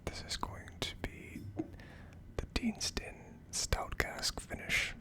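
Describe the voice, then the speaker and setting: An ASMR video host whispering casually to viewers in a soft, unhurried manner.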